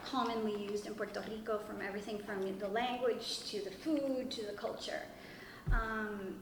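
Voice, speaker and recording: A woman whose first language is Spanish, talking through her background in a relaxed roundtable discussion, thoughtful and halting with frequent fillers. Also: a little high, background noise. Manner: reflective, halting with many fillers. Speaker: discussion participant. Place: room with a table, group seated. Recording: echoey room with long reverb.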